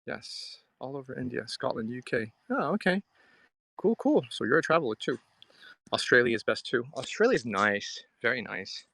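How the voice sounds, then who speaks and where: Casual male live-streamer with a creaky edge. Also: medium pitch, very animated, moderate pace, a bit bright. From Hong Kong. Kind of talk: casual chat, answering viewer comments. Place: outdoors at a riverside.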